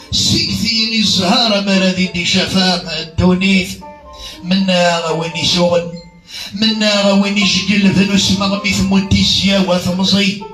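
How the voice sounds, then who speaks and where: A man's voice: sits high, pushed and bright. A man recites poetry as a poet performing his verse. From Kabylie, Algeria. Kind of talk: poetry recitation over music. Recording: roomy echo, music behind. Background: music.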